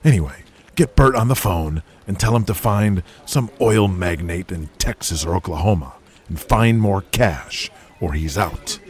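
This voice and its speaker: A man's voice: low voice, lively intonation, a little slow, slightly rough, full character voice. A man voicing a proud, stubborn, sardonic wrestling boss in an audio drama, with a haughty, dry delivery.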